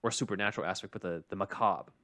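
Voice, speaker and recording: A man hosting a podcast, casually recapping and commenting on a script in a measured, explanatory way. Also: low voice, sharp tone, no echo, studio quality. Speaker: podcast host.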